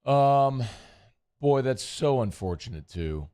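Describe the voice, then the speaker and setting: A man's voice: medium pitch, animated, slow pace, mellow, clean tone. A man hosting casual sports talk radio, chatty and rambling, his voice worn and strained. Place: radio studio.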